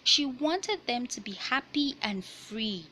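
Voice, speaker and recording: Bright-voiced woman reading aloud, slow pace. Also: on the high side, expressive, a little hiss or hum, rough recording. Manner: deliberate, explanatory, teacherly, repeating key points for young listeners. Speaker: storyteller reading a children's picture book aloud. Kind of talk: read-aloud storytelling with explanatory asides.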